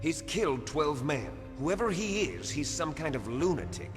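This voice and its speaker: A man's voice: a little high, very animated, normal speed. An actor playing an imperious, menacing male terrorist commander in a video game, with a theatrical, taunting delivery. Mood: stern, commanding. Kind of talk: dramatic video game voice acting. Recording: long hall-like reverb. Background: music.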